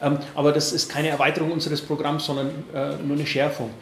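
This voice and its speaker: A man in his forties with an Upper Palatinate accent, answering questions at a press conference in a halting way, with many fillers and restarts. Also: a little high, little pitch movement, reverberant, slight background noise. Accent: Upper Palatinate, Franconian-tinged. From Bavaria, Upper Palatinate. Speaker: party board member at press conference.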